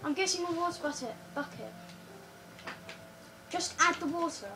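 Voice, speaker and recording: A girl with a slightly croaky voice chatting quickly over a building game, often repeating words in a hurry. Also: very high-pitched, animated, over music. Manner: hurried, repeats words under time pressure. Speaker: co-host playing a building game. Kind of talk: casual gameplay chat. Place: living room, in front of a TV. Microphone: phone camera filming the TV screen. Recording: far-off phone audio with room noise.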